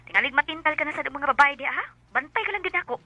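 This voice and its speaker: A woman's voice: high-pitched, animated, rapid-fire, bright, forceful tone, no room sound, heavily acted. An actress playing a forward, teasing woman in a Bisaya radio drama, with a Filipino accent. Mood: nagging, sleepy. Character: forward women of a radio drama: nagging wife, flirty bar hostess.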